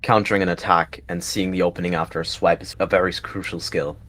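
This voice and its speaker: A man narrates a scripted animal fantasy tale. His voice is low, and he reads in prose storytelling style. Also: flat delivery, fast talker, a bit bright. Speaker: narrator and roleplayer.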